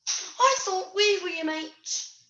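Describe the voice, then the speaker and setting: Puppet show role: gushing, starstruck toy played by a female, very high and swooping, echoey room. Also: expressive, slow, sharp tone, exaggerated voice. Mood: wounded, plaintive. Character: starstruck, emotional toy-shop puppet dreaming of fame. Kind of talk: online puppet show acting. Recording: echoey room over video call.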